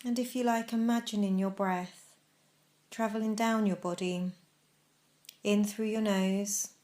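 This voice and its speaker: A woman narrating a guided meditation as instructor, in a measured, instructional manner with deliberate pauses. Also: mid-range voice, steady tone, a little mellow.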